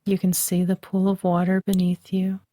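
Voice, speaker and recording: A woman guiding a relaxation meditation, speaking softly and soothingly, recorded dry and close as a voice-over. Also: lowish voice, monotone. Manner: slow, soft and soothing. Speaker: meditation guide.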